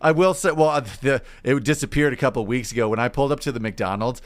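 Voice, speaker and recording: An American man in casual comedic podcast chat, telling stories in an animated way, acting out remarks and swearing casually. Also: mid-range voice, fast talker. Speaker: podcast co-host.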